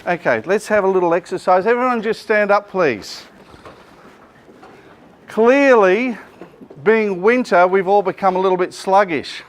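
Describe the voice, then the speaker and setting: A middle-aged Australian man, a business coach, running a joking, energetic seminar and quizzing his audience, speaking without a microphone to the room. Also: high voice, measured pace, long pauses. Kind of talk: lively workshop presentation to a live room.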